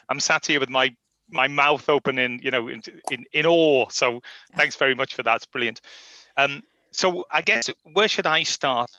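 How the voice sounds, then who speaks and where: A man from Liverpool with a very bright, forward voice at medium pitch tells his own story informally. He is chatty and self-deprecating, and the audio is slightly dull. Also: no echo. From Liverpool, England. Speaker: guest speaker, industry professional. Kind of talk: informal personal career story.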